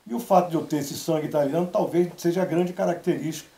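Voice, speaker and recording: A man's voice: fairly high pitch, vocal fry, reverberant. A man from Salvador, Bahia, telling stories about his life as a guest on a TV interview show. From Brazil (Salvador, Bahia). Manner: storytelling, measured, quotes others in lively asides. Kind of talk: relaxed TV interview talk. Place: TV studio.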